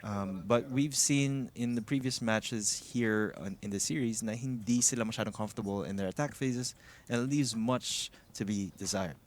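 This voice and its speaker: A man with a Filipino accent giving measured, explanatory live esports commentary with some Tagalog mixed in. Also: on the low side. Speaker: esports shoutcaster.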